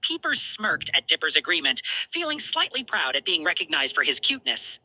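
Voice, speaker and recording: Woman, narrator, scripted romantic fan fiction, bright tone, very lively pitch, dry close sound. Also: a little fast, no echo, rough recording.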